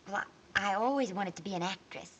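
A woman's voice: mid-range voice, a little fast, close to an everyday voice. A woman playing a shy, earnest young aspiring actress in an old film, speaking hesitantly. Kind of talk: 1930s film acting. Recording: faintly hissy old film soundtrack.

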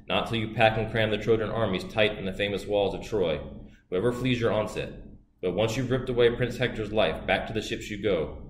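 A man voicing a stern male god who gives measured, reassuring advice to a warrior. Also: lowish voice, natural voice. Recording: roomy with faint hiss.

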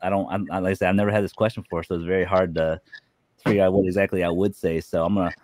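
A man's voice: sits low, flat delivery, rapid-fire, a little mellow, dry recording, studio quality. A man chatting casually as a panel guest, easygoing and loose in how he words things. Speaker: podcast guest. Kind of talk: casual chat.

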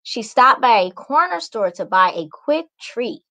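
A woman's voice: a little high, sing-song, a little slow, pushed and bright. A young adult woman, a children's author, reading a storybook aloud and explaining it simply to young listeners. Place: small indoor room.

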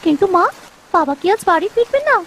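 A performer voicing a caring, eager little village girl in a cartoon, earnest and gently bossy. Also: very high-pitched, big pitch swings, a little fast, exaggerated voice. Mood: worried, earnest. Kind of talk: dramatic cartoon story dub.

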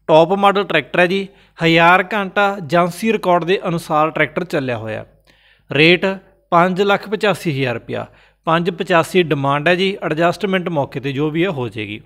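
Male channel host, Punjabi accent, higher-pitched voice; brisk voice-over sales patter, repeats numbers for clarity. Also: a bit bright. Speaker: host of a used farm machinery sales channel. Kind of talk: voice-over listing items for sale.

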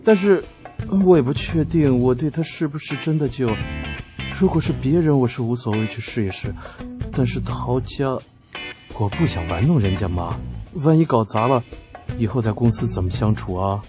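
A man's voice: medium pitch, normal speed, natural voice. A man acting a prickly young office guy over music. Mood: flustered, defensive. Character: prickly young male office worker, proud and evasive about love. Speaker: amateur voice actor. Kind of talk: audio drama acting. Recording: loud music behind the voice.

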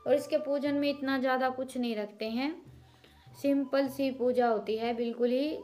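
A woman's voice: high voice, steady tone, normal speed, warm tone, soft background music. A woman in her thirties from Haryana, giving a homely, chatty voice-over as a village cooking vlogger, explaining each step to her viewers. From Haryana, India.

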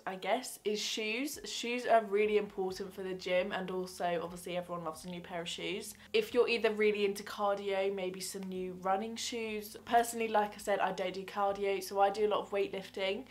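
A woman's voice: medium pitch, fairly even intonation, normal speed, hardly any pauses. A young woman in her twenties chatting casually to camera in a gift-guide vlog, bubbly and rambling, often restarting her sentences, recorded in a small bedroom at desk distance from the camera. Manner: bubbly, rambling, self-correcting. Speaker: fitness vlogger. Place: small bedroom with fairy-light backdrop.

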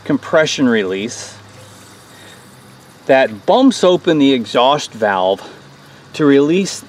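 A man's voice: mid-range voice, sing-song, takes it slowly, darkish tone. A man in his forties hosting a hands-on small engine repair tutorial outdoors on a lawn, explaining each step in a plain, folksy way.

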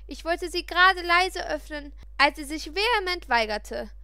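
A woman reading a first-person story aloud in an expressive, dramatic way. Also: extremely high pitch, measured pace, dry recording. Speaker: audiobook reader.

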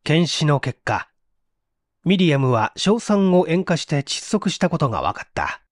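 A man's voice: on the high side, very animated, measured pace, no room sound. Male audiobook narrator, dramatic and suspenseful, very varied intonation, dry studio-like sound. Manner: theatrical, suspenseful. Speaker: audiobook narrator, stage actor. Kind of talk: dramatic scripted mystery narration.

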